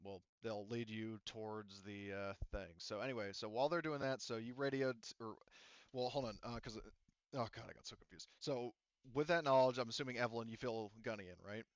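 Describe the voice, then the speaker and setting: A man's voice: mid-range voice, a little fast, clean tone, dry recording. A man running an online tabletop game as game master, narrating in a rambling way and often losing his thread. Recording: dull, muffled audio.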